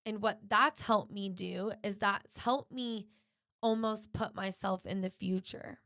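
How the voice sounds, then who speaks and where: A woman delivers a motivational podcast monologue in a medium-pitched voice with noticeable creak. She is encouraging and drives her points home by restating them. The sound is dry, with very little room in it. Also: fairly even intonation, measured pace, fairly bright tone, no echo. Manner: encouraging, emphatic repetition. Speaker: podcast host.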